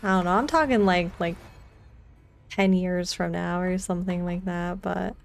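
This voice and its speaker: A woman streaming a game and chatting casually, unhurried and rambling as she thinks aloud. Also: fairly low pitch, steady tone, creaky. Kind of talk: casual livestream chat over gameplay.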